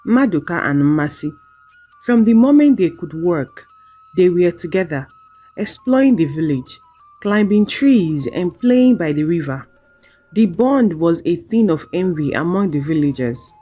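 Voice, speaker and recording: Slow, expressive woman storyteller. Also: fairly low pitch, soft background music. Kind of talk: scripted folktale narration.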